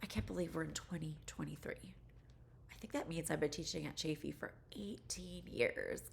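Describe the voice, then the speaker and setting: A woman's voice: low-pitched, moderate pace, gravelly. A woman in her forties, a college instructor, casually talking through a course introduction video, congested and stuffy from allergies, chatty and rambling with lots of fillers.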